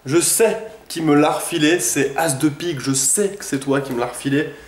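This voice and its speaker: A man's voice: on the high side, normal speed. A young man with a head cold, sounding congested, chatting casually in a rambling vlog with lots of hesitations and slang. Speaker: vlogger. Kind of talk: casual daily vlog chat. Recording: echoey room.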